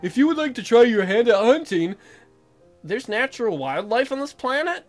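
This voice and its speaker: A man voicing a colony mayor in a video game, a practical, slightly goofy official who explains things haltingly and informally. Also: very high-pitched, very animated, soft background music. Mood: official, helpful.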